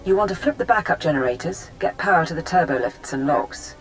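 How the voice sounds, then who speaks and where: Man acting dutiful support officer, high male pitch, brisk, businesslike, clipped, video game cutscene. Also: expressive, faint music behind, natural voice, rough recording.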